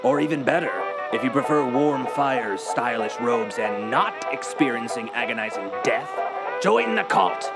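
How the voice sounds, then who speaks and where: A man's voice: mid-range voice, expressive, a little slow, creaky, over loud music, low-quality recording. A man delivering a scripted, tongue-in-cheek radio sign-off as host, wry and theatrical. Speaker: host, announcer.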